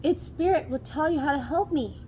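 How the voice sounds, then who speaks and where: Lady doing a jealous whiny-bossy storybook stepmom, highish voice, super dramatic, bit of background noise. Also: normal speed, mellow, close to an everyday voice. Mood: feigning illness. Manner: melodramatic, demanding. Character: scheming, complaining second wife, jealous stepmother.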